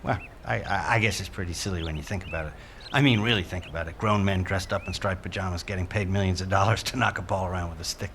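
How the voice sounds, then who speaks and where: A man's voice: low voice, steady tone, fast talker, a bit bright, a little hiss or hum. An actor playing a patient, streetwise negotiator in a naturalistic audio drama, folksy and placating, with some hesitation as he coaxes. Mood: chatty. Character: streetwise, patient male negotiator coaxing someone off a ledge.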